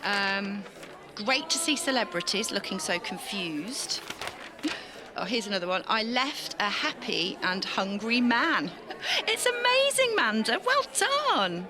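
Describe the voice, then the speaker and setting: Wry, animated best friend. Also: female voice, fairly high pitch, takes it slowly, background noise. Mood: excited. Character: wry, teasing, supportive best friend, a young woman. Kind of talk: audio drama acting.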